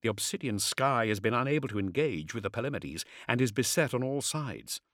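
A man voicing a dutiful, respectful castellan in an audiobook, reporting briskly and urgently like a soldier. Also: mid-range voice, animated, a bit bright, dry recording, studio quality, natural voice. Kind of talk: audiobook character acting.

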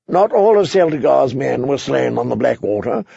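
A man voicing a harsh, hot-headed, accusing knight at court in an audiobook, husky, sharp and insistent. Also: medium pitch, expressive, normal speed, no echo, muffled recording, exaggerated voice.

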